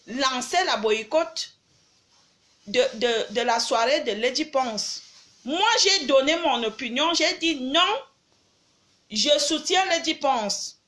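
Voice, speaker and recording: A woman's voice: sits high, a bit bright. An older woman from Cameroon with a cold, hosting a livestream and lecturing her followers emphatically. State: has a cold. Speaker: livestream host. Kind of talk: live video chat with followers.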